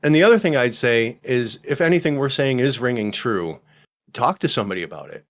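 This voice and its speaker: A man's voice: medium pitch, lively intonation, brisk, fairly bright tone. Rambling self-deprecating podcast host man. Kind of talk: casual chat.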